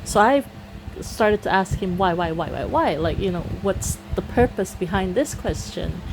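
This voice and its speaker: A woman's voice: on the low side, lively intonation, moderate pace, noisy background. A woman from Singapore with a Singaporean accent, chatting casually to viewers about a mobile game, filling her talk with "you know" and laughing often.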